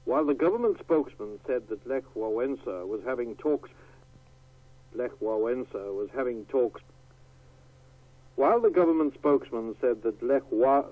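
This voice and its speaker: A man's voice: medium pitch, normal speed. A man reading the news as a radio newsreader. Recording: tinny narrowband radio broadcast.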